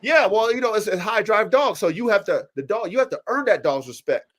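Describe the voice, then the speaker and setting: Stuffed up dude on a live podcast, kinda high voice, swears a lot, acts stuff out. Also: male voice, brisk. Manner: animated, profane, acts out dogs and owners in quoted voices. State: congested from allergies. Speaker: podcast host. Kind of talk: casual chat. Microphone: live stream mic.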